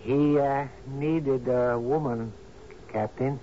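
A man's voice: medium pitch, steady tone, slow, close to an everyday voice. An actor playing a loyal, grave island man, terse, guarded and deferential to his captain, in a 1970s radio drama. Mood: matter-of-fact. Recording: dull, noisy old radio recording.

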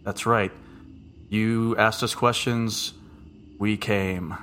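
A man with a deep voice hosting a casual podcast chat, joking and rambling off into asides. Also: steady tone, extremely slow, fairly bright tone, slight background noise. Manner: jokey, rambling, with frequent asides. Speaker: podcast host.

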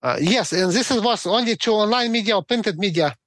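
Halting Ukrainian-accented man, high voice. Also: animated, fairly bright tone, no room sound, studio quality. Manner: halting, many fillers and restarts. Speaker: guest expert. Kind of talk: interview answers.

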